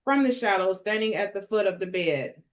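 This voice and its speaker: A woman with a medium-pitched voice narrates a script. She reads scene headings and action lines as the leader of a table read. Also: animated, moderate pace. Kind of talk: reading screenplay stage directions.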